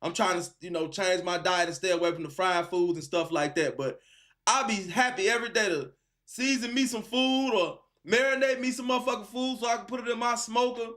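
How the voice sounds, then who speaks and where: Male live-stream host, high register, casual and encouraging, heavy slang. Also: fairly quick, a bit bright. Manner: encouraging, slangy, repeats phrases for emphasis. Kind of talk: casual chat with a caller.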